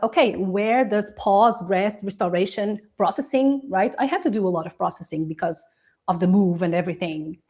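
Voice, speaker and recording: A woman whose first language is Portuguese, a coach hosting a casual live video, talking warmly to viewers, laughing often and pausing to sip tea. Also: mid-range voice. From Portuguese Angolan. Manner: chatty and encouraging, laughs often, sips tea.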